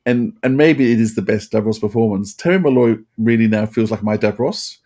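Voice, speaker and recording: Guy podcast host chatting casually, soft mellow tone, kinda halting and repeats himself. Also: fairly low pitch. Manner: rambling, halting, repeats phrases. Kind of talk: casual review chat.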